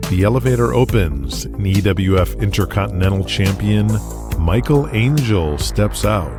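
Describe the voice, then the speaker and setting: Deep-voiced male storyteller over music. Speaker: narrator of an audio drama. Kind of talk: scripted dramatic narration.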